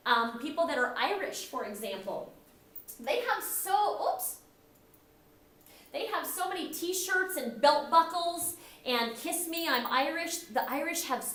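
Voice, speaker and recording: Echoey, higher-voiced woman lecturing. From United States. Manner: conversational, animated, acts out quoted remarks. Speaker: teacher. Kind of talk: recorded classroom lecture. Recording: echoey room.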